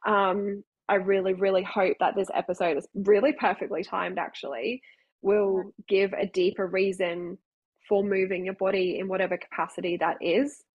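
A woman's voice: medium pitch, fairly even intonation, moderate pace, slightly rough, dry recording, muffled recording. A woman hosting a podcast interview, speaking earnestly as a health professional and repeating words for emphasis. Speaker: podcast host and health practitioner.

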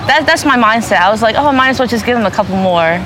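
A woman's voice: on the high side, expressive, quick pace, noisy background. A woman in her twenties chatting in an interview, dropping "you know" often. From New York. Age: 20s. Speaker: interviewee, family store worker. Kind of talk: casual interview.

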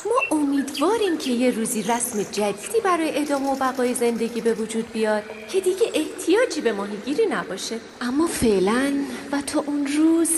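Kind fairy guide for children's radio play, played by a woman: fairly high, expressive, nurturing, faint ambience. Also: noisy background, natural voice. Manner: measured, instructive, nurturing. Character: kind, guiding fairy angel, gentle and encouraging.

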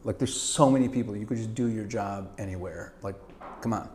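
A middle-aged man from Canada, a guest in a casual interview, talking in an animated way with lots of stammered restarts and easy laughter. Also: on the low side, brisk, a little hiss or hum.

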